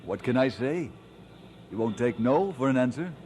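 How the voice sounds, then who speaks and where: An actor playing an earnest, humble pastor, speaking politely and sincerely in an old-time radio drama style. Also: male voice, mid-range voice, animated, moderate pace, a little mellow, natural voice. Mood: earnest, troubled. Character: earnest, humble, courteous male pastor, newly married. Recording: noisy vintage radio transcription.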